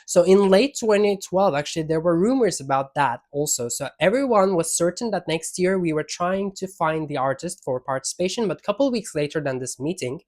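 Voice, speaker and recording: A man's voice: high-pitched, fairly quick, hardly any pauses. Turkish-accented man, chatty vlog talk. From Turkey. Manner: frequent fillers and self-corrections, animated emphasis. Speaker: vlogger. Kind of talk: casual talk to camera.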